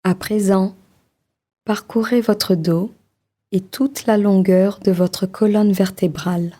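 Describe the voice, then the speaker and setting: A woman leading a guided body-scan meditation in a slow, soft, soothing voice with long pauses. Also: mid-range voice, fairly even intonation.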